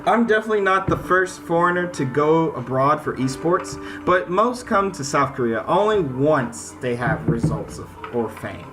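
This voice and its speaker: An American man does casual let's-play commentary in an informal, stumbling way. His voice sits a little high and swings around in pitch as he reads and chats. Clear game music plays behind him. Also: expressive, normal speed, few pauses. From United States. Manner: informal, stammering, repeats words. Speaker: gaming video host.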